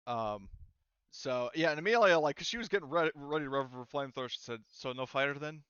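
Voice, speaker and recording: Casual male game master, smooth clear voice. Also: a little high, lively intonation, fast, a bit bright, dry recording, lo-fi sound. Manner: casual, conversational. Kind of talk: improvised narration of a tabletop roleplaying game.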